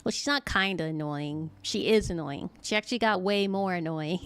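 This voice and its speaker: A woman's voice: lowish voice, moderate pace, clean tone, no echo. A woman game streamer giving casual, joking and rambling live commentary. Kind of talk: casual chatty livestream commentary.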